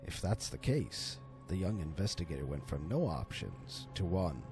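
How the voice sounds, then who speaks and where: A man narrating a horror story in a theatrical, deliberate manner, as an amateur storyteller and voice actor. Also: low voice, very animated, creaky, over music. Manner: theatrical, deliberate, swooping delivery.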